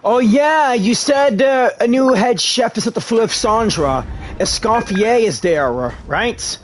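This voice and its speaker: A man's voice: extremely high pitch, expressive, slow pace, slight background noise. A man live-streaming a game, casually reacting and reading dialogue aloud as he plays. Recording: faint game music behind.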